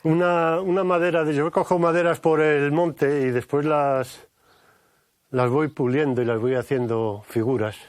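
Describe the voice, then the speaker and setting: A man's voice: fairly high pitch, fairly even intonation, unhurried, no room sound, studio quality. A retired man in his sixties, a sculptor and former engineer, chatting casually outdoors and repeating words as he thinks. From Spain. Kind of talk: casual chat. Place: outdoors on a village hillside.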